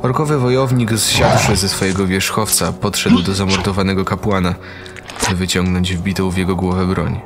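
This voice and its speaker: A man's voice: low voice, fairly even intonation, moderate pace, noisy background. A man narrating a fantasy audio drama in a dramatic, scripted style.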